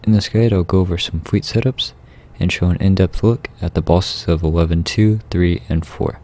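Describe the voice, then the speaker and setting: A man reading a scripted video game guide in a measured, instructional way. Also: low-pitched, steady tone, warm tone. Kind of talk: scripted guide narration over gameplay.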